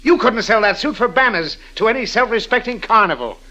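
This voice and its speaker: An actor in a 1930s radio comedy playing a blustering, sarcastic, middle-aged company boss with a cutting, emphatic delivery. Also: male voice, high-pitched, lively intonation, a little fast, pushed and bright. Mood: indignant, threatening. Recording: vintage 1930s radio broadcast.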